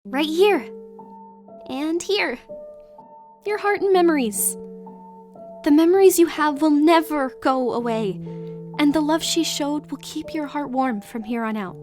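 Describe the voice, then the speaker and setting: A woman playing a gentle, earnest young friend who speaks softly and reassuringly in an audio drama. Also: high-pitched, unhurried, long pauses, soft background music. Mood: earnest comfort.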